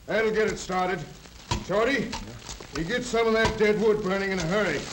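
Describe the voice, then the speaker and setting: Very high-voiced actor as a rugged Western outlaw. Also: male voice, normal speed, close to an everyday voice. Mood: barking orders. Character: rugged outlaw barking orders to his gang. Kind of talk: 1930s radio Western acting. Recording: very noisy 1930s film soundtrack.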